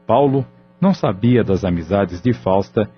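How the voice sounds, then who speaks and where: A man narrating an audio drama in a measured, solemn way. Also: on the low side, animated, a little hiss or hum. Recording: dull lo-fi audio with faint music.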